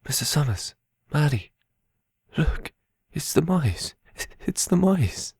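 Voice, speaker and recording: A man playing a shy, innocent, slow-minded runaway boy in an audio drama, speaking quietly with childlike timidity. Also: a little high, big pitch swings, husky, dry recording, full character voice. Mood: innocent wonder.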